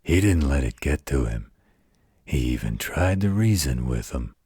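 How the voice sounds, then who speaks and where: An extremely deep, husky werewolf played by a man tells a bedtime story in an audio roleplay. He is gruff but protective and tender, taking his time with a folksy, wry delivery. The sound is close and dry. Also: expressive, dry recording, exaggerated voice. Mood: folksy storytelling. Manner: folksy, unhurried, gently wry.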